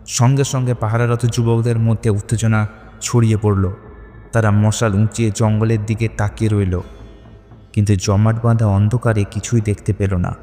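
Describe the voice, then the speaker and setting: A man's voice: fairly low pitch, darkish tone, big-room sound. A man narrating a scripted horror story in a dramatic, suspenseful manner.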